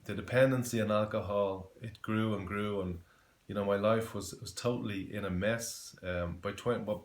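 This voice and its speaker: A man in his forties from Dublin with a Dublin Irish accent, giving an earnest personal faith testimony, halting with many fillers and restarts. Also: on the low side. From Ireland. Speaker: testimony giver. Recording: echoey room.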